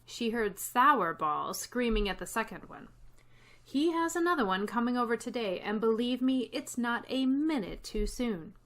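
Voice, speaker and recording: A woman's voice: on the high side, expressive, moderate pace, a bit bright. A woman playing a wry, long-suffering schoolteacher in old-time radio comedy, with a dry, wisecracking delivery. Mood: wry complaint. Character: wry, long-suffering female high school English teacher. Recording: noticeable noise with clicks.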